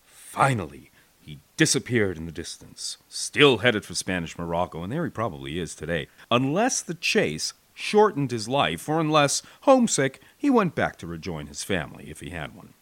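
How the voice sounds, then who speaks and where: An American man narrating a war memoir as an audiobook, with an expressive, wry, folksy storytelling delivery. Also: fairly low pitch, normal speed, no room sound. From United States.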